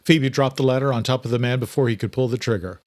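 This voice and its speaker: A man's voice: medium pitch, fast, no echo. A man reading dramatic, scripted horror fiction as a narrator.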